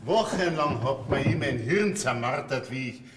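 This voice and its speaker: Bavarian actor as a sly shepherd, roomy stage. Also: male voice, medium pitch, lively intonation, moderate pace, natural voice. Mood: sly, storytelling. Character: bearded shepherd, sly, good-natured village storyteller. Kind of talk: broad folk comedy stage acting. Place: theatre stage set. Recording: roomy stage sound, faint noise.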